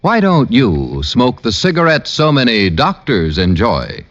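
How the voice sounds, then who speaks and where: A man's voice: mid-range voice, wildly swooping pitch, measured pace, muffled recording, very noisy. A man announcing a mid-century radio show and its sponsor in a dramatic scripted style. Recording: band-limited old radio broadcast.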